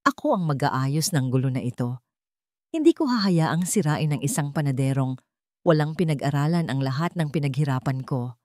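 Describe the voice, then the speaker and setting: A woman's voice: low-pitched, animated, moderate pace, darkish tone, dry recording, clean studio sound. A woman voicing a cold, domineering old billionaire father in a story, commanding and contemptuous. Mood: angry.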